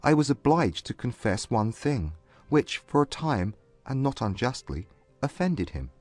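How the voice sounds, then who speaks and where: A man playing a proud but humbled gentleman suitor, earnest and formal, in a dramatized classic romance audiobook. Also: medium pitch, very animated, dry recording, faint music behind. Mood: candid confession. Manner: formal, measured period English.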